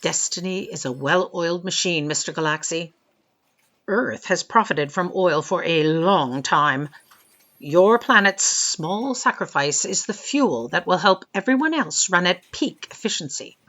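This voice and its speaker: A woman's voice: lowish voice, lively intonation, measured pace, a bit bright. A woman playing an imperious female boss, pompous and dryly authoritative, in a comic sci-fi audio drama. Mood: blithe, pompous. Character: brisk, imperious female boss, blithe about doom.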